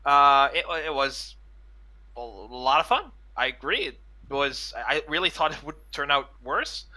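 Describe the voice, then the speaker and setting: A man's voice: fairly high pitch, a little slow, bright, forceful tone. A man co-hosting a casual podcast over a video call, talking in an upbeat way and eagerly listing things. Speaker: podcast co-host. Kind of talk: casual chat.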